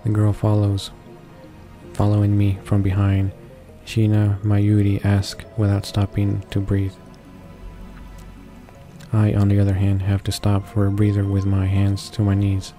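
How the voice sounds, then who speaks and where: A man hosting a game read-along, narrating the text aloud in a halting way and often correcting his own stumbles. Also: fairly low pitch, completely flat delivery, mellow, soft background music. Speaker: video game walkthrough host reading aloud. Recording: noisy home recording with constant background hiss.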